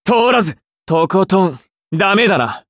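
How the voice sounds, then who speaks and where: A man's voice: sits high, big pitch swings, moderate pace, fairly bright tone, dry recording, studio quality. An actor playing a cool, mocking young male assassin in an anime fighting game, with a detached, theatrical delivery. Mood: taunting, confident.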